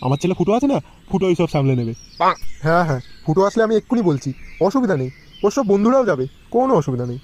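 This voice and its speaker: A man's voice: sits high, sing-song, racing, darkish tone. A man playing a fussy, scolding middle-aged father in a comic cartoon, bossy and slangy. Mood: reassuring.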